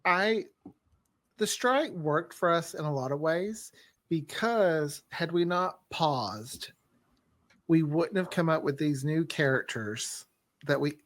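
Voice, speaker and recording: A man's voice: fairly high pitch, animated, takes it slowly, fairly bright tone, no echo. A man chatting casually as a writer on a podcast panel.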